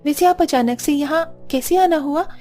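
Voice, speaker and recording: A woman's voice: high-pitched, moderate pace, mellow, soft background music, voice pushed up, natural voice. A woman voicing a young, caring daughter-in-law in a cartoon family story, emotive yet respectful. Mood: concerned.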